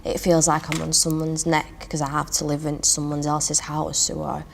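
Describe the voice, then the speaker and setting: A woman's voice: deep voice, monotone, moderate pace, creaky. A woman from Latvia with a Latvian accent, chatting hesitantly in a casual interview over faint background noise. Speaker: housing tenant in a peer interview.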